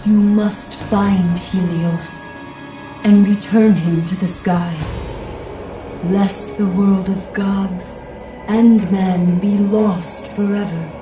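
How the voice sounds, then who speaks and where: A stern, echoing goddess. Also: female voice, medium pitch, steady tone. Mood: commanding. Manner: slow, stately commands. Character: commanding goddess, stern and solemn. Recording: echoey, distant voice over music.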